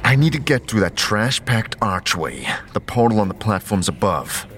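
A man's voice: lowish voice, expressive, normal speed, a bit bright, a little hiss or hum. A man playing a guarded, terse wanderer in a fantasy audio drama, asking questions somewhat hesitantly. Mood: frustrated, terse. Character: terse, guarded adult male wanderer seeking answers.

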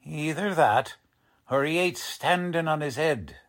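Cocky, swooping 1940s police lieutenant. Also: male voice, fairly high pitch, lively intonation, measured pace, fairly bright tone. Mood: brusque, probing. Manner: brusque, slangy, ungrammatical tough-cop talk. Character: cocky, condescending male police lieutenant, brusque 1940s gumshoe. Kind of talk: 1940s radio drama acting.

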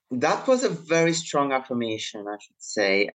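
A man born in Italy, speaking as an interview guest in a measured, reflective way. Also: mid-range voice. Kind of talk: interview conversation.

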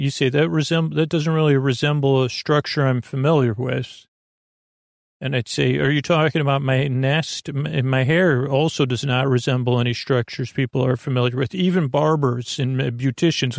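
A man with plenty of gravelly fry in his voice delivers a meandering sleep podcast monologue. He stumbles, doubles back and leaves thoughts hanging. The sound is dry, with no room around it. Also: mid-range voice, no echo. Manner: meandering, halting, circles back and trails off mid-thought. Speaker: podcast host.

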